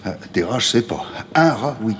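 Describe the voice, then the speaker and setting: A male actor playing a worn, middle-aged homeless man haunted by lost love, colloquial and gruff, in a naturalistic radio drama. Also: mid-range voice, lively intonation, moderate pace, fairly bright tone, slight background noise, lightly acted. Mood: fond, easygoing. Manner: colloquial, gruff, emotionally volatile.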